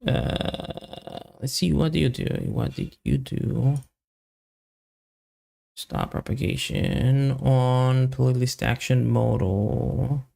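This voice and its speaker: A man giving casual live coding commentary, thinking aloud as a software developer in halting, muttered fragments with long pauses. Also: mid-range voice, fairly even intonation, gravelly.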